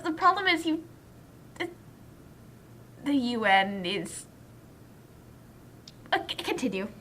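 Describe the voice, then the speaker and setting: A woman giving casual, reactive commentary as a co-host, incredulous and halting in her delivery. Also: high voice, pushed and bright.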